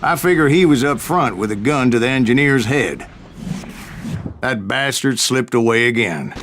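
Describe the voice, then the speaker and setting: An actor playing a grizzled aging American gunslinger who tells of his exploits in a gruff, boastful drawl for a Western game. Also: mid-range voice, expressive, normal speed, a bit bright, soft background music, lightly acted. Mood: boastful, reminiscing. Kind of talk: Western game storytelling narration.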